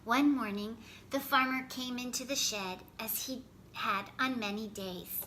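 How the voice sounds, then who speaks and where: Bright-voiced woman reading slowly to children. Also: a little high, a little hiss or hum. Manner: expressive, careful storytelling. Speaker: storyteller, host. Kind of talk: children's picture book read-aloud.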